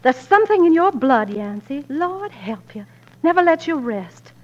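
A long-suffering but iron-willed frontier wife in a 1940s radio drama. Her voice sits high, and she speaks with emotional, reproachful emphasis. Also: very animated, normal speed, highs cut off. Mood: angry, defiant. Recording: old 1940s radio recording.

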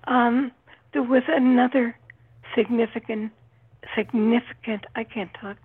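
A woman on a tinny phone line talking unscripted on radio, haltingly, in short phrases with long pauses. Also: on the high side, fairly even intonation, breathy edge.

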